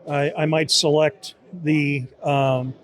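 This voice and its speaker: A man with a somewhat high voice walks through a software tool in an informal demo. He explains it conversationally, often repeating and rewording his points as he goes. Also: little pitch movement, slow. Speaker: software team member demonstrating a beta tool. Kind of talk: informal product demo in an interview.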